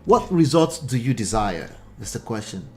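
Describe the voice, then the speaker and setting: A man's voice: mid-range voice, very animated, moderate pace, mellow. A man in his forties with a Nigerian accent, reading viewer comments aloud and answering them with blunt rhetorical questions. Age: 40s. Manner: emphatic, repeats points, asks pointed rhetorical questions. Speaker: relationship commentary host.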